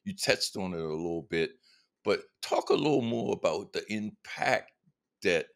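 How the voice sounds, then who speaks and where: Male interviewer, low voice. Conversational, halting delivery, feels his way into each question. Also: animated, gravelly. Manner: halting, repeats words as he frames questions. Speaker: interviewer, host.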